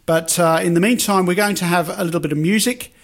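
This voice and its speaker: Radio host, higher voice. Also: male voice, quick pace. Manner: relaxed, rambling with fillers.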